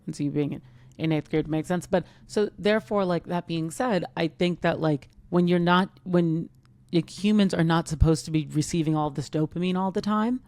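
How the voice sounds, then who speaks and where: A woman in her thirties talks casually on a podcast with a low, gravelly voice. She rambles and leans heavily on "like", and the sound is dry and close. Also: normal speed, a little mellow, no room sound. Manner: rambling, heavy use of "like". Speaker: podcast co-host. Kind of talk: casual chat.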